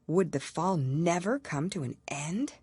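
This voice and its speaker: A woman narrating a children's storybook as an audiobook reader, in an expressive style. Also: on the low side, unhurried, husky, no echo, clean studio sound.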